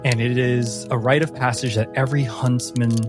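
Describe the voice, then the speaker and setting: A man narrating a fantasy tabletop game as game master, with deliberate, descriptive storytelling. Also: mid-range voice, little pitch movement. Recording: music under the voice. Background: music.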